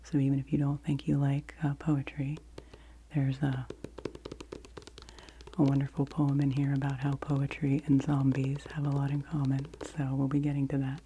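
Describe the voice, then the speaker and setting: A woman in her forties, whispering gently and unhurriedly as she hosts a soft-spoken ASMR book review. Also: extremely low pitch, completely flat delivery, normal speed, a little hiss or hum, poor audio. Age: 40s. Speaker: ASMR host.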